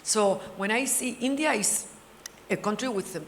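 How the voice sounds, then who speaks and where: A middle-aged woman with a Cuban Spanish accent speaks on a panel in a long, ringing hall. There is some faint noise around her. She tells things in an animated, conversational way, at a middling pitch. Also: moderate pace. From Cuba. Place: large indoor hall at a summit.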